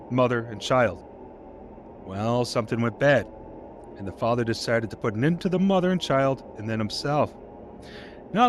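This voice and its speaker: An actor playing a chatty, folksy local sheriff's deputy in an audio play, telling things in a colloquial way. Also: male voice, mid-range voice, slight background noise, close to an everyday voice. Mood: earnest, storytelling. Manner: folksy, colloquial, unhurried storytelling. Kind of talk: naturalistic audio drama dialogue.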